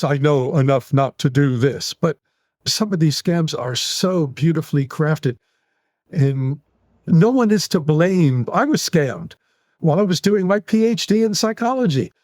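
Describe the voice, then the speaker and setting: A man in his sixties with a New York accent talks in long, animated run-on sentences as a podcast interview guest. His voice sits fairly high for a man. The recording is dry and close. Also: no echo. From United States. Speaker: guest psychologist.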